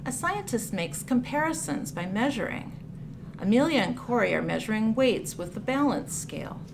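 A woman reading a picture book aloud for children, with deliberate, clearly phrased storytelling delivery. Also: a little high, lively intonation. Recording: clear background noise, room ambience.

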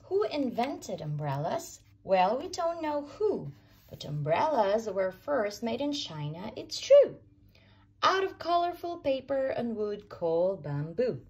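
A woman reading a rhyming children's picture book aloud as a storyteller, with expressive, deliberate sing-song phrasing for young kids. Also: on the high side, breathy edge.

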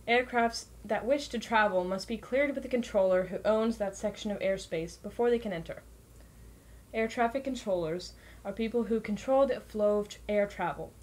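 A woman's voice: medium pitch, darkish tone. A woman reading scripted documentary narration in a plain, informational way, occasionally stumbling over words. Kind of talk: scripted narration, read aloud.

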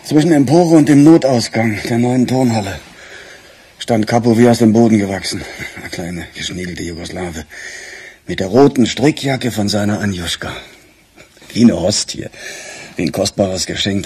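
An actor playing a weary, grumbling police chief with a husky voice in a radio crime drama. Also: male voice, fairly low pitch, moderate pace, mellow. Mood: weary, subdued.

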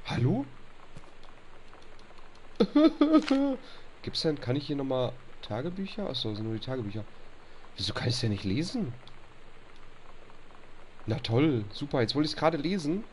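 A man's voice: a little high, very animated, a little slow, long pauses, a little mellow, a little hiss or hum. A young man with a stuffy nose chatting casually over gameplay, reading on-screen text aloud and grumbling at bugs. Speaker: let's play host.

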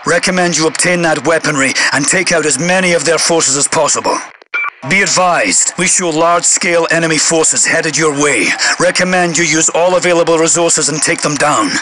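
British major general for military game: stern, clipped, commanding, slightly high-pitched, radio-filtered with static. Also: male voice, normal speed. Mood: grave, commanding. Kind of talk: video game voice-over, military radio announcements. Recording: radio crackle and heavy noise.

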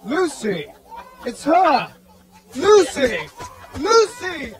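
A man playing a grieving, disbelieving Victorian gentleman in an audio drama, halting and melodramatic. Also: very high-pitched, sing-song, heavily acted. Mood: frantic calling. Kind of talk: dramatized audio play acting.

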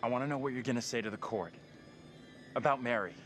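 An actor playing a kind, uneasy young college student in a horror game, speaking earnestly and casually. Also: male voice, medium pitch, fast talker, a bit bright, faint music behind, lightly acted. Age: young adult. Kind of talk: video game cutscene drama.

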